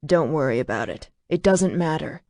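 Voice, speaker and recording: A woman's voice: low-pitched, animated, moderate pace, dark tone, no echo, studio quality, voice pushed down. A woman voicing a commanding male dragon shifter who speaks in curt, clipped orders. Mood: urgent.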